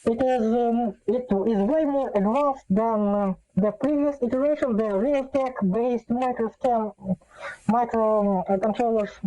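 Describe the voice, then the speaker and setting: Female presenter, fairly high but soft-edged voice, halting and informal, as in an off-the-cuff tech demo. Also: low-quality recording. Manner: hesitant, halting delivery with frequent long filler pauses. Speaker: host demonstrating an electronic gadget. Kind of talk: casual unscripted tech demonstration.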